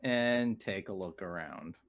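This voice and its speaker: A man's voice: deep voice, lively intonation, slow, vocal fry, dry recording, muffled recording. A man chatting casually as a player in a tabletop game, over an online voice call.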